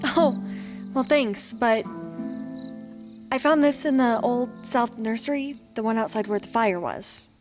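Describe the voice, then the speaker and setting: High-voiced earnest young woman played by an actress. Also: unhurried, long pauses, faint music behind, natural voice. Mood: bright, polite. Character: earnest young woman, daughter of a lost spellcaster. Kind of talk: fantasy audio drama acting.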